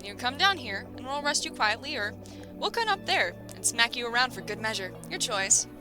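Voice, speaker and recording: A tough female soldier, acted by a woman, highish and very animated. She is blunt and on edge, in a fantasy audio drama with music behind her. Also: brisk. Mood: defiant. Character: tense blunt female spear-wielding soldier. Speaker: voice actor in audio roleplay.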